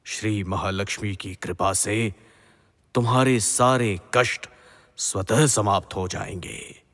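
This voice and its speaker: Man acting deep, slow, husky sage. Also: fairly bright tone. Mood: solemn, encouraging. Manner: solemn, measured, authoritative blessing. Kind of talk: devotional mythological audio drama acting. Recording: long reverberant hall echo.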